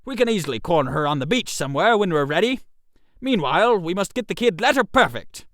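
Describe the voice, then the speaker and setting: A man voicing a bossy, brisk playwright in an audio story, clipped, theatrical and decisive. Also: sits high, big pitch swings, fairly bright tone, no echo, clean studio sound. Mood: businesslike, exasperated. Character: bossy, brisk male playwright staging a scheme.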